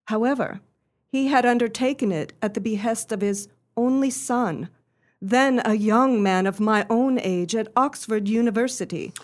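Woman acting an earnest, high-voiced genteel young lady. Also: normal speed, natural voice. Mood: earnest, grave. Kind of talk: radio play acting.

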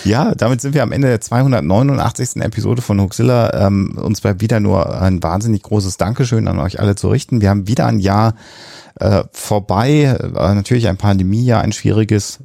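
Deep, gravelly male podcast host. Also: brisk, warm tone, no echo, clean studio sound. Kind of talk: casual podcast talk.

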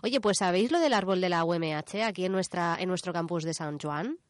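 A woman's voice: lowish voice, brisk, dry recording, studio quality. A woman with an accent from Spain, hosting casual radio talk with chatty comments and questions. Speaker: radio show host.

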